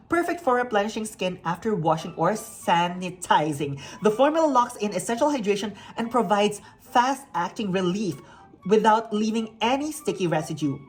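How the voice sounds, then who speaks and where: A woman in her forties hosting a beauty unboxing vlog, bubbly and upbeat, reading product labels aloud from her home. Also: mid-range voice. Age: 40s. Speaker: beauty vlogger. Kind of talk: unboxing review. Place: home near a busy street.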